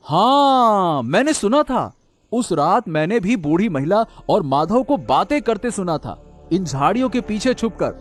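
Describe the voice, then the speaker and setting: Guy doing a earnest cartoon subject explaining stuff, high pitched, bit of music behind. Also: sing-song, measured pace. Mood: concerned, determined. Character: earnest male subject exposing a conspiracy. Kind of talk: cartoon story acting.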